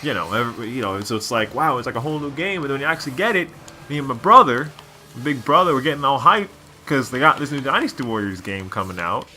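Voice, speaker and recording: A man's voice: mid-range voice, animated, fast, pushed and bright, slight background noise. A man doing casual gameplay commentary as a co-host, rambling and opinionated like a devoted fan. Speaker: gaming video co-host.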